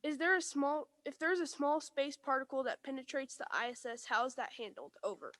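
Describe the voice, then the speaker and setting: High-pitched student voice, dry sound. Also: female voice, brisk, a bit bright, dry recording. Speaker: student asking questions. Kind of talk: prepared questions over radio.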